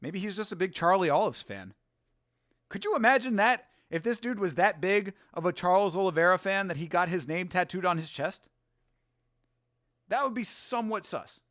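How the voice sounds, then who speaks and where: A fairly high-voiced man hosting a loose live watch-along, chatting and rambling between viewer comments. He swears casually and laughs a lot. The sound is dry and close, with little room in it. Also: expressive, normal speed, a bit bright, dry recording. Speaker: host.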